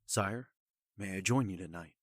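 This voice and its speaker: A man's voice: low-pitched, moderate pace, dry recording, clean studio sound. A man playing a deferential, formal male knight in an audio drama.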